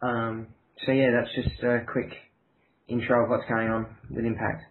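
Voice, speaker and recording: A man's voice: medium pitch, flat delivery, unhurried. A man giving team news in a casual, hesitant, matter-of-fact way. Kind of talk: casual team audio log. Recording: muffled lo-fi voice chat, faint noise.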